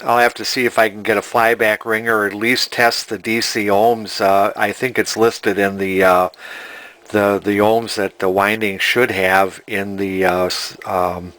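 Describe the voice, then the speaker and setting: A man in his sixties talking casually and haltingly as he hosts a hands-on restoration vlog from his workshop. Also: on the low side, little pitch movement, a bit bright. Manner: halting, hesitant, rambling. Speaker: vintage TV restorer, video host. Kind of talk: casual spoken workbench vlog. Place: cluttered indoor home workshop.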